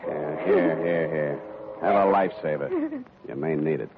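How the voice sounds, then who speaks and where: A man's voice: rumbling low, wildly swooping pitch, normal speed, heavily acted. An actor playing a hard-boiled male private detective in a 1940s radio drama, talking in a dry wisecracking drawl. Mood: wry. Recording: tinny lo-fi old radio broadcast with hiss.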